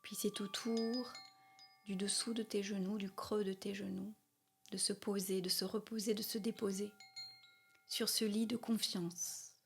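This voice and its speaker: Woman, meditation guide, very slow pace, soft breathy delivery, slightly creaky, calm, soothing, music behind. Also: medium pitch. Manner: calm, soothing, repetitive phrasing with long pauses. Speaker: yoga nidra guide. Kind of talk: guided meditation, spoken slowly.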